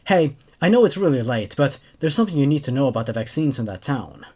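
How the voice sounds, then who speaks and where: A man voicing a frantic male acquaintance in a horror story, pleading urgently in a hurried warning. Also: mid-range voice, big pitch swings, warm tone, slightly rough. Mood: urgent, alarmed.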